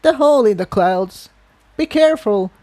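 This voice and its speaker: A man voicing a brisk, insistent elderly female pony in a fantasy story reading, speaking matter-of-factly. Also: sits high, animated, a little mellow, voice pushed up. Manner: exclaiming, matter-of-fact, addresses listener as dear.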